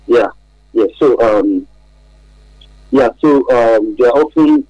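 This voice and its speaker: A man with a Nigerian accent explaining things as an interview guest on radio, speaking haltingly and deliberately, often pausing to find words. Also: mid-range voice, dark tone, husky, no echo, dull audio.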